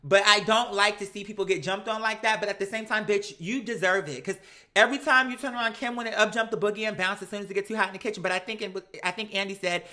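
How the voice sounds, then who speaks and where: A man's voice: sits high, fairly even intonation, fast talker, bright, forceful tone. An American man hosting a livestream, chatting casually and giving opinions in an emphatic, slangy, conversational way. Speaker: livestream host. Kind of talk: casual opinionated chat to live viewers.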